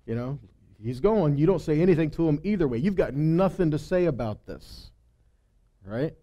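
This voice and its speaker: A man with a slightly high, mellow voice teaches scripture in an informal sermon style. He tells the stories like a storyteller, paraphrasing the dialogue in everyday language. He often punctuates his points with short rhetorical check-ins. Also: expressive, moderate pace. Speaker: Bible teacher leading a weekly Torah study.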